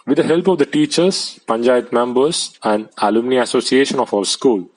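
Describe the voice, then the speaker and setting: A man, reading out translated debate speeches in a plain, measured way. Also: medium pitch, lively intonation, poor audio.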